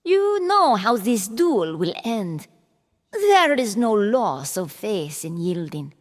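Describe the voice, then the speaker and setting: A woman's voice: medium pitch, big pitch swings. Woman acting a haughty, deadly noblewoman duelist in reverb. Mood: cold warning. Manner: formal, imperious, measured delivery. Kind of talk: fantasy audio drama acting. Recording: long hall reverb over music.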